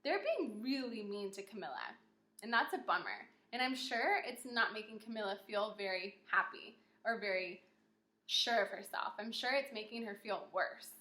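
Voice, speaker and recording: A woman kindergarten teacher reading a story aloud with teaching asides, expressive, questioning and encouraging, recorded in an echoey room. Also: mid-range voice, moderate pace.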